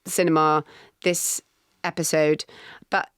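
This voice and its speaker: A middle-aged woman, co-hosting a casual podcast chat, animated and talkative, laughing often. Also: deep voice, slow pace, clear, resonant tone, dry recording, clean studio sound. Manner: animated, chatty, with laughs and restarts. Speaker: podcast co-host.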